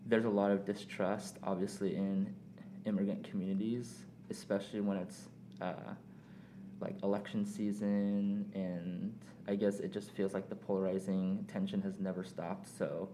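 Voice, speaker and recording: A young man, born in South Korea with Korean as his first language, speaking as a podcast guest in thoughtful, measured casual talk with pauses and fillers. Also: low-pitched, little pitch movement, a little hiss or hum. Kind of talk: casual reflective conversation.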